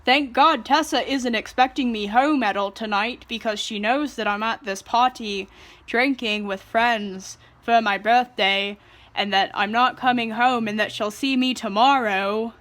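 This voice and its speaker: A woman's voice: a little high, unhurried, a bit bright. A woman mockingly voicing a drunk young man at a party, drawling and slurring in a lazy way. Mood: drunken rambling. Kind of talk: mocking parody reading.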